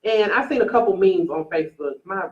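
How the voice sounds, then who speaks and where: A woman's voice: medium pitch, lively intonation, fairly quick. A woman in her twenties chatting casually as a talk show host, with blunt, emphatic, slangy opinions. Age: 20s. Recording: roomy, dull, distant-mic recording.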